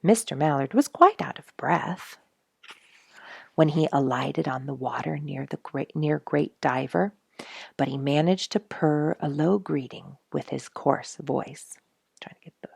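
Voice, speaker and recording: A woman reading a children's storybook aloud in an expressive, emphatic manner. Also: sits low, creaky, breathy edge, dry recording, clean studio sound. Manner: expressive, emphatic on sound words. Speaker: storybook reader and host.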